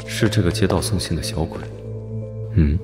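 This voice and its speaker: A man voicing a possessive, scheming young duke in an animated drama, controlled and teasing. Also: low-pitched, normal speed, over music, close to an everyday voice. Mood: musing, intent. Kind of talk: Chinese animated drama acting.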